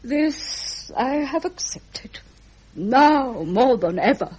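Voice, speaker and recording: A woman's voice: high voice, sing-song, darkish tone, slightly rough, heavily acted, poor audio. An actress playing a stern, grieving old British queen and reproachful mother in a radio drama, formal and imperious. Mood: disappointed, reproachful. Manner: imperious, measured, formal, emotionally wounded.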